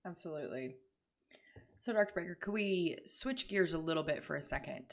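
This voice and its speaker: A woman's voice: deep voice, lively intonation, brisk. A woman hosting an interview in a conversational style. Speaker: interviewer, host.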